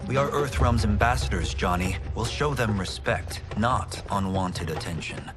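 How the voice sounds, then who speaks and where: An actor playing an earnest, respectful young champion in a fantasy game, polite and sincere in manner. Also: male voice, on the low side, moderate pace, over music, natural voice. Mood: admonishing. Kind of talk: cinematic fantasy game acting.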